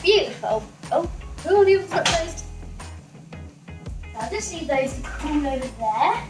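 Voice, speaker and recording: Higher-voiced woman chatting in a roomy kitchen. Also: very animated, measured pace. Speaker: home cook presenting a recipe. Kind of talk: casual chatty cooking demonstration. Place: home kitchen, indoors. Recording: roomy kitchen with music and clattering dishes.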